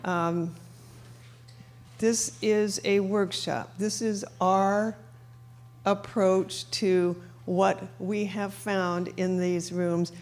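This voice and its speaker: A woman opening a recovery workshop on a microphone in a meeting room, measured, with fillers. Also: mid-range voice, level tone, darkish tone. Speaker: workshop organizer. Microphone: handheld microphone.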